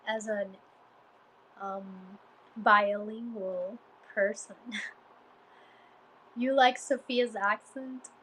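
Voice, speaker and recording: Guatemalan woman, native Spanish speaker, unhurried and hesitant live-stream chat into a phone, faint room noise. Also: mid-range voice, a bit bright, rough recording. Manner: chatty, hesitant, many fillers and restarts. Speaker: Spanish teacher livestreaming. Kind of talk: casual live-stream chat answering viewers.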